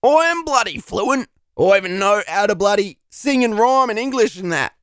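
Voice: medium pitch, lively intonation, normal speed, a bit bright, smooth, dry recording, clean studio sound, natural voice. An actor playing a foul-mouthed, desperate Australian slacker man who begs and rants in thick slang in a comedy drama. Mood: belligerent, crude. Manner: crude, slangy, belligerent, whining.

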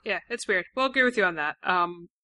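A woman with a husky voice chatting casually as a podcast host, giving her opinions emphatically. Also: on the low side, animated, brisk, sharp tone. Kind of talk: casual discussion among co-hosts.

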